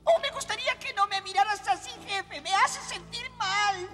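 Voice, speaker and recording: A cowardly little cartoon sidekick, squealing in an extremely high, whiny voice. He pleads and exclaims in long reverb over faint music. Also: moderate pace, fairly bright tone. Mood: nervous whining. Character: cowardly, whiny small male sidekick to a bandit chief. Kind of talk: dubbed animated adventure acting.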